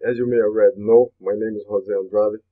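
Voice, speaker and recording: A man's voice: fairly low pitch, flat delivery, fairly quick. Brazilian man on tinny webinar audio. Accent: Brazilian Portuguese. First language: Portuguese. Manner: earnest, repeats phrases, frequent uh fillers. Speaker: webinar host. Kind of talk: motivational webinar talk.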